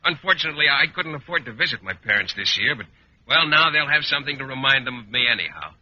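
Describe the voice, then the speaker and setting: An actor as a shy, lilting bachelor teacher. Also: male voice, mid-range voice, very animated, fast, close to an everyday voice. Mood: bashful, polite. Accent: American. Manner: hesitant, polite, boyishly naive. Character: shy, earnest, mild-mannered bachelor schoolteacher. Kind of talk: 1940s-50s radio comedy acting. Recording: very noisy, muffled old radio recording.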